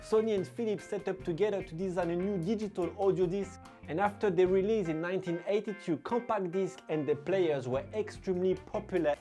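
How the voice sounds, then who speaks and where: A man's voice: high voice, warm tone. Playful young man presenting, music behind. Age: young adult. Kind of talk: energetic scripted fact-of-the-day vlog with skits. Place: small furnished room indoors. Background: background music.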